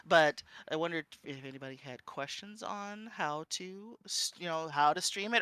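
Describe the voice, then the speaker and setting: Bright, higher-pitched male panel speaker. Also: clean tone, no echo. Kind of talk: informal panel talk with audience questions. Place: panel talk at a convention.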